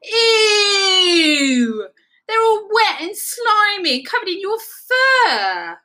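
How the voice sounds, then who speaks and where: Woman as a dramatic storybook rabbit, indignant, snappish, high-pitched, swooping, exclamatory. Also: expressive, a little slow, bright, forceful tone, heavily acted. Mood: outraged. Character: moody, indignant, dramatic rabbit fussing over carrots. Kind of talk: animated children's storybook character voice.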